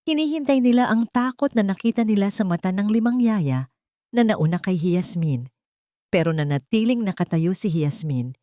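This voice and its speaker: A woman with a Filipino accent narrating a dramatic story as an audiobook, phrasing it deliberately in short, suspenseful beats. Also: mid-range voice, animated, dark tone, dry recording.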